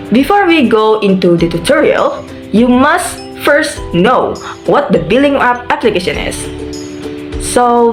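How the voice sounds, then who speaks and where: Young woman explaining steps. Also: medium pitch. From Indonesia. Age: young adult. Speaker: student giving an app tutorial. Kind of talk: screen-recorded tutorial voice-over.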